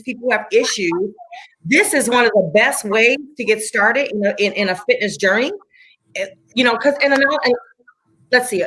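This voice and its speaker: A woman's voice: fairly high pitch, fairly bright tone, a little hiss or hum, rough recording. A woman in her forties, a fitness instructor, chatting enthusiastically and repeating herself for emphasis. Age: 40s. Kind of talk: casual chat.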